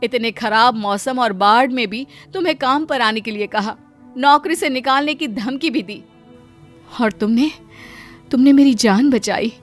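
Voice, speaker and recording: A woman's voice: on the high side, measured pace, faint music behind, close to an everyday voice. A woman playing a wealthy, humbled mistress in a cartoon moral story, speaking earnestly and emotionally. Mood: remorseful.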